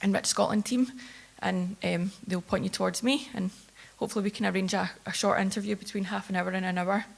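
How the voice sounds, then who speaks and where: A woman researcher giving a plain-spoken conference presentation, steady but with filler ums. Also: medium pitch, a little fast, creaky, few pauses. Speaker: care home researcher. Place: conference hall.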